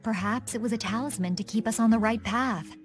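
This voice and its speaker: A woman's voice: on the high side, fairly quick, faint music behind, natural voice. An actress playing a cool, composed and frank woman adventurer in a video game. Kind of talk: video game cutscene acting.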